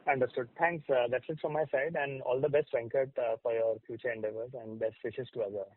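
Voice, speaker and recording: A man's voice: mid-range voice, steady tone, brisk, no echo. A man putting questions as an analyst on an earnings call. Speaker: financial analyst asking questions. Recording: band-limited phone line.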